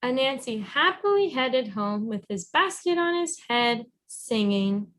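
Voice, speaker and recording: A woman reading a storybook aloud as a teacher, encouraging and pausing to guide with questions. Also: a little high, expressive, fairly bright tone. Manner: encouraging, instructive.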